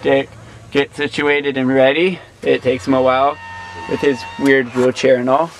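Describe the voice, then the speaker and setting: A man's voice: mid-range voice, flat delivery, moderate pace, poor audio. A young man hosting a casual vlog, giving upbeat, joking narration into a handheld camera held close to his face. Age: young adult. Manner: upbeat, joking tour-guide talk. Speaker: vlog host. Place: van, parking lot, hotel.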